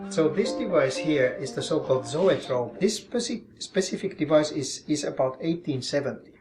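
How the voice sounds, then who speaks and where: Calm man explaining. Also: on the high side, normal speed, music in the background. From Finland. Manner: calm, explanatory, demonstrating objects as he talks. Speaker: professor and collector. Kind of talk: interview, explaining his collection. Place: his office.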